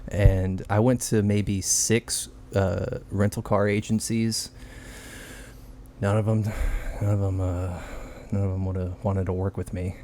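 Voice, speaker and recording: A man's voice: low voice, flat delivery, unhurried, dark tone, a little hiss or hum. A young man from Texas co-hosting a podcast, telling a loose, profane story in casual chat with lots of filler words. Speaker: podcast co-host.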